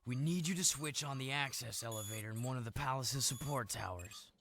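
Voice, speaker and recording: A man's voice: mid-range voice, brisk, fairly bright tone, slight background noise, lightly acted. An actor playing a young male game hero, casual and coaxing. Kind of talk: video game cutscene acting.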